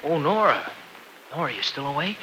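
An American actor playing a tired, sheepish, earnest working husband, hesitant and colloquial, in 1940s radio soap style. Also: male voice, fairly high pitch, big pitch swings, normal speed. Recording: noisy vintage radio recording.

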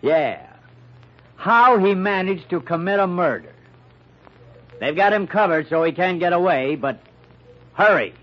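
An actor playing a brash, wisecracking newspaper reporter in an old-time radio mystery, with blunt, slangy, sardonic delivery. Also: male voice, sits high, animated, measured pace, long pauses, highs cut off, a little hiss or hum. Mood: wry, confident.

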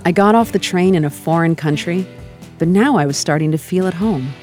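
An American woman giving scripted travel narration in a calm, reflective storytelling manner. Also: lowish voice, fairly quick, soft background music. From United States.

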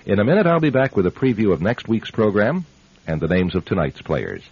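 A man's voice: lowish voice, big pitch swings, a little fast, husky, no room sound. A man giving scripted announcements as host of a radio drama program. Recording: dull band-limited radio recording, music behind.

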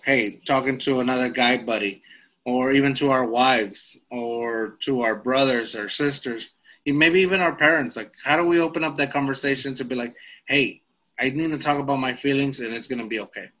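Bright, chatty podcast host man. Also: mid-range voice, lo-fi sound. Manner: chatty, teasing, asks long rambling questions. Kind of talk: casual chat.

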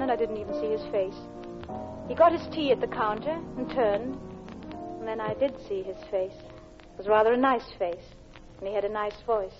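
An actress playing an earnest, polite, middle-class English wife in an old-time radio drama, with a genteel, hesitant manner. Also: female voice, a little high, natural voice. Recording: muffled vintage radio recording with music.

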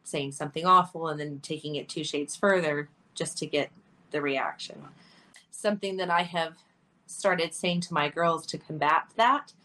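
A woman's voice: low-pitched, moderate pace, sharp tone. A woman co-hosting a podcast, talking earnestly and breaking into laughter often. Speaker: podcast co-host. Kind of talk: candid conversational podcast talk.

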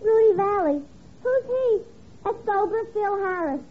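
A woman's voice: very high-pitched, expressive, unhurried. A woman playing a sassy, dim young tavern woman with blunt, pert wisecracks in a 1950s radio comedy. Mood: pert, mocking. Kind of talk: 1950s radio sitcom acting. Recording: very noisy, tinny old radio broadcast.

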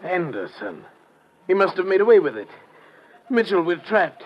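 An actor playing a wary, haughty, shifty castaway in old radio adventure, speaking in a formal, indignant way. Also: male voice, high voice, very animated, normal speed. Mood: fearful. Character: wary, haughty man, a deceitful stranded islander. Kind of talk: 1940s-50s radio adventure acting. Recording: dull, hissy old radio recording.

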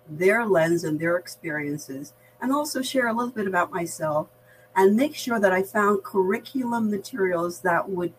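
An older woman with an American accent and a slightly low-pitched voice talks in a reflective interview. She explains things in a measured, articulate way. Clear background noise and hum sit behind her. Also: poor audio. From Barbados. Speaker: veteran diversity practitioner, podcast guest.

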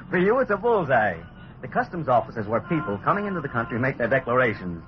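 Actor as a brash, animated radio newshound. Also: male voice, medium pitch, fairly quick, close to an everyday voice. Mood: teasing. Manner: sarcastic, slangy, needling. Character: brash, wisecracking male newspaper reporter. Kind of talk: 1940s radio acting. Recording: dull, noisy old radio recording.

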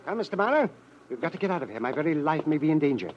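Through a noisy old radio recording comes a high, jittery little gentleman of about fifty. His speech swoops up and down, formal, fussy and urgent, as in a 1940s radio play. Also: big pitch swings, racing, highs cut off. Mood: urgent, fearful. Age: middle-aged. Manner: formal, anxious, precise, urgent. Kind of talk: 1940s radio drama acting.

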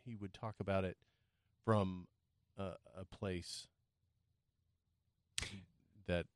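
Man, lowish pitch, reflective casual chat, trailing off, dry recording. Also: steady tone, slow, dry recording.

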